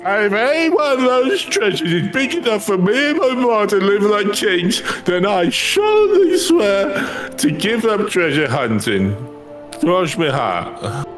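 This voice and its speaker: Swooping, tipsy treasure hunter. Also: male voice, high-pitched, sing-song, over music. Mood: wheedling. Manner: theatrical, colloquial, halting drawl. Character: tipsy, stubborn, roguish down-on-his-luck male treasure hunter. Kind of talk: reading game character dialogue aloud.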